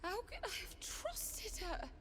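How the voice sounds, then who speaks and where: A woman playing a grieving, self-blaming young widow in a fantasy video game, halting and anguished. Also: extremely high pitch, very animated, a bit bright, heavily acted. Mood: tearful, anguished. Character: grieving young widowed mother-to-be, desperate and bitter. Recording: reverberant game audio with faint ambient noise.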